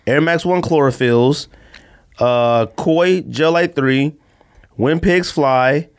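A man's voice: on the high side, slow, clean tone. A man hosting a podcast in casual banter, with a teasing, opinionated manner. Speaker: podcast host.

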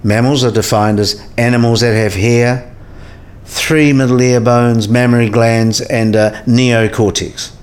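A learned professor played by a man in a radio play, with a mid-pitched voice. He explains things step by step in a precise, didactic way. He often puts questions to his listener like a patient tutor. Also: steady tone, a little slow, close to an everyday voice. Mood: instructive, probing.

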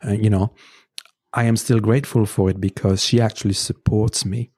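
A man with a French accent, whose first language is French, earnestly giving relationship advice as a guest in a conversational interview. He hesitates often, restarting phrases and filling pauses with "um" as he works through his points. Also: on the low side, little pitch movement, darkish tone. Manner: earnest and advising, with frequent fillers and restarts. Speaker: podcast guest.

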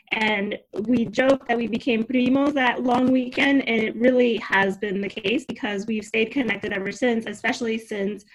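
A woman's voice: a little high, rough recording. A young adult woman from Cuba, raised in New Jersey, chatting as a podcast guest in an upbeat, talkative way and laughing easily. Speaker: podcast guest, career coach. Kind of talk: casual interview chat.

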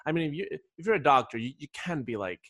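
A man's voice: on the high side, sing-song, fast talker, fairly bright tone, no room sound, studio quality. A man from Ecuador talking casually as a podcast guest, telling stories in a rambling way with repeated restarts. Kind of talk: casual conversational storytelling.

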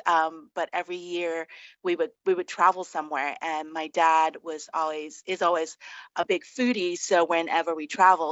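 A woman's voice: sits low, fairly bright tone, no echo. A woman from Singapore speaking casually as an interview guest, looking back on her experiences, on slightly lo-fi audio. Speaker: interview guest, food and travel journalist. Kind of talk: casual reminiscing interview chat.

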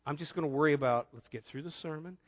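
A man's voice: fairly high pitch, fast talker, muffled recording. A middle-aged man preaching a conversational sermon, telling a personal story in an earnest way and often repeating words as he starts a phrase.

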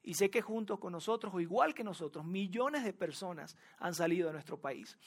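A man's voice: high voice, lively intonation, fairly quick. A man from Venezuela with a Venezuelan accent, preaching a sermon to a congregation, talking to them directly and repeating his key phrases for emphasis. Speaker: pastor.

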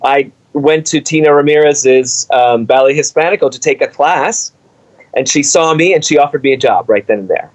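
A middle-aged man from Cuba, the artistic director of a dance company, answering interview questions in animated, storytelling fashion with many fillers. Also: a little high, moderate pace, low-quality recording.

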